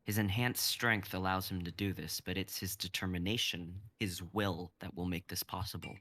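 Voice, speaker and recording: Deep, bright-toned young man narrating. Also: moderate pace. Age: 20s. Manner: steady descriptive narration. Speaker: narrator and reader. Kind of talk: dramatic script reading.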